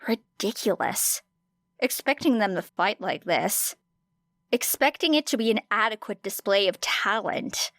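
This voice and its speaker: A woman reading scripted fiction as an audiobook narrator, expressive, with deliberate emphasis on key phrases. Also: medium pitch, no echo, studio quality.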